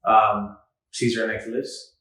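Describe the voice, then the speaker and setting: A man who grew up in the Dominican Republic, hosting a podcast and telling stories about his past in an earnest, animated way. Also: on the low side, measured pace, distant mic. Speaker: podcast host. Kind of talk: casual chat, storytelling. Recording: echoey room, slightly off mic.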